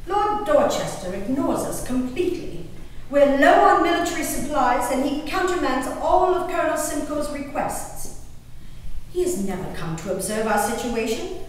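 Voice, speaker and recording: A genteel colonial wife and mother, played by a woman, delivers a theatrical diary monologue, devoted and anxious. Her voice is high and very unhurried. It carries from a distance through a heavily reverberant hall. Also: darkish tone. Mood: anxious, indignant. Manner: theatrical, measured, with exclamations and pauses. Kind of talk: dramatic stage monologue reading of a diary. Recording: echoey hall from far off, background noise.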